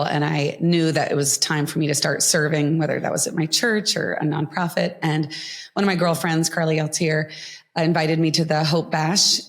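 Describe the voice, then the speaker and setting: A woman in her thirties, a podcast guest, talking earnestly and warmly about her volunteer work, with frequent ums and pauses. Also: sits low. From Florida. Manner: earnest, heartfelt, with frequent fillers. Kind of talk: casual interview talk.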